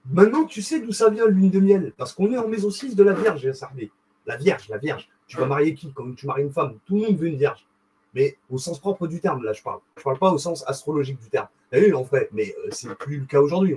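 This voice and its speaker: A young man with a husky voice, talking casually and excitedly to his viewers in a rambling, slangy way. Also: on the high side, low-quality recording. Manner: rambling, animated, slangy, addresses viewer directly. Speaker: video host. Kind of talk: casual explanatory monologue.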